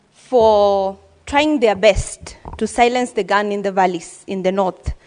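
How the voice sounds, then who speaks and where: A woman's voice: medium pitch, long reverb tail. A woman giving an earnest, formal speech as a senator, with frequent hesitations. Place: legislative chamber.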